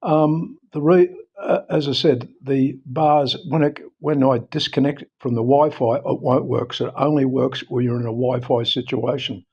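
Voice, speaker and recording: A man with an Australian accent giving an informal, unscripted how-to talk in a halting, repetitive, explanatory way, recorded a little dull on a device microphone. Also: mid-range voice, fairly even intonation, darkish tone, husky. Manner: halting, explanatory, often repeating himself. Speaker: presenter of a phone how-to video.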